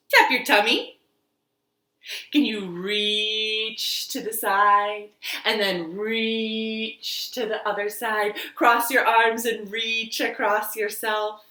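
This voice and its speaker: Lady doing storytime for little kids, bright voice, kinda sing-song and encouraging, roomy living room sound. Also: fairly high pitch. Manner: sing-song, encouraging, expressive talk to young children. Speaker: children's storytime librarian host. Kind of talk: children's storytime, talking and reading aloud to young kids.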